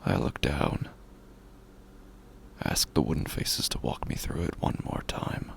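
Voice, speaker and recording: Horror podcast monologue: male actor as an unhinged, grieving man living alone. Gravelly and breathy, often whispered, pitch swinging wildly, halting and repetitive, faint background noise. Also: very animated, exaggerated voice. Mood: hushed, numb. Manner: halting, repetitive, slow brooding delivery. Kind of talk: first-person horror audio drama monologue.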